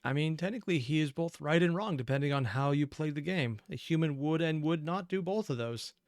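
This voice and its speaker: A man's voice: on the high side, fairly quick, no echo, clean studio sound. A man giving casual reaction commentary as a video host, emotive and often exasperated.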